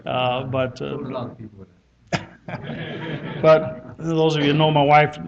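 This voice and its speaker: A man's voice: fairly high pitch, little pitch movement, slow, gravelly, a crowd in the background. Man hosting a church meeting. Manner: warm anecdotal, frequent hesitations. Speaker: host introducing a speaker. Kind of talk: church conference talk. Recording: slightly dull lo-fi recording.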